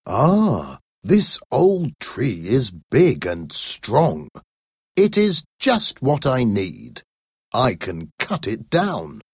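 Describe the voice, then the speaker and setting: A woman voicing a gruff man set on cutting down a tree, in a low, husky put-on voice for a storybook read to pupils. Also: wildly swooping pitch, very slow, darkish tone, no echo, clean studio sound, exaggerated voice. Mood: pleased.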